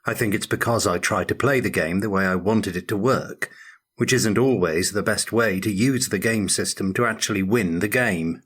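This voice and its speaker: An older man giving measured, articulate answers as an interview guest in a prepared Q&A. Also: on the low side, fairly bright tone, breathy edge. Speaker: interview guest, tabletop game designer.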